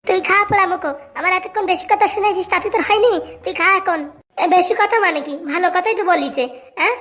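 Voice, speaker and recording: A woman's voice: extremely high pitch, fast, a bit bright. A woman playing a quarrelsome young village wife in a comic cartoon, scolding and complaining in a rural Bengali accent. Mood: scolding, indignant.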